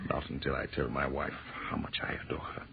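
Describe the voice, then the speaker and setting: An actor playing a witty, romantic, mock-pompous astronomy professor in a 1930s radio drama. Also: male voice, very deep voice, flat delivery, brisk, creaky, whispered. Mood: teasing. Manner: florid, mock-pompous turns of phrase. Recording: muffled, noisy 1930s radio recording.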